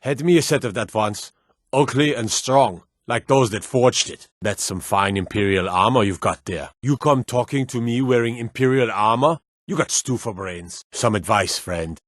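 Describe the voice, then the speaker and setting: An actor playing a blunt, suspicious city guard in a fantasy video game, with folksy, old-fashioned turns of phrase. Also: male voice, medium pitch, normal speed, dry recording, clean studio sound, natural voice. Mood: gruff, appraising. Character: gruff, wary male city guard in a fantasy realm. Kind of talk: video game character barks.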